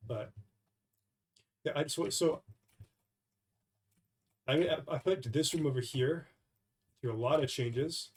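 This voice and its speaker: A man's voice: a little high, takes it slowly, long pauses, husky. A young man with a cold, sounding stuffy, talking casually and hesitantly through a devlog with many fillers and restarts. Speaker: indie game developer. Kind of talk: casual devlog screen recording.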